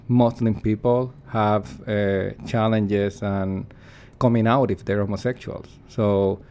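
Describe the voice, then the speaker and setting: A man's voice: fairly low pitch, warm tone. A man, a performance artist, giving earnest and reflective interview answers while searching for his words.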